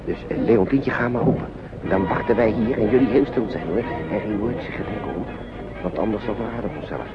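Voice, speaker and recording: Fast-talking, fussing uncle in old radio play man acting. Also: on the low side, loud music behind the voice, lightly acted. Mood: hushed, anxious. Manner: hesitant, repetitive, fussing gently over children. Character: worried Englishman, kindly uncle shepherding young children. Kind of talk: naturalistic radio drama acting. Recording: dull, noisy old radio play recording.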